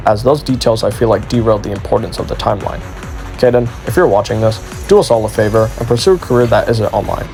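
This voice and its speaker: A man's voice: mid-range voice, creaky, a little mellow. A man narrating a scripted exposé video as its commentator.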